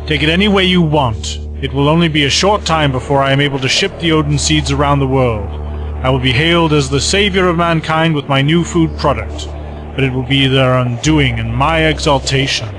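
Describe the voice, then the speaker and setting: A man's voice: a little high, lightly acted, rough recording. Man voicing a scheming villain, smug, cold, commanding, music bed. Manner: smug, menacing, measured. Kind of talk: fan audio drama. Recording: music bed behind voices.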